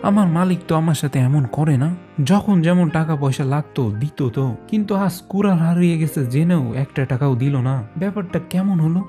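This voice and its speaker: Greedy cartoon woodcutter, male actor, grumbling and wheedling, mellow timbre, raised pitch. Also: fast talker, faint music behind, natural voice. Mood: self-pitying, worried. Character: greedy dishonest woodcutter, whining and self-pitying. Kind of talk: animated folk-tale dialogue.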